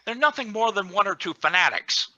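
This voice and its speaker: Scheming accuser male performer, radio play. Very forceful, swooping delivery, insinuating. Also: high-pitched, expressive, a little fast, no echo, dull audio, full character voice. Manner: insinuating, pointed, theatrical. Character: scheming, accusatory security chief. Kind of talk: scripted radio play reading.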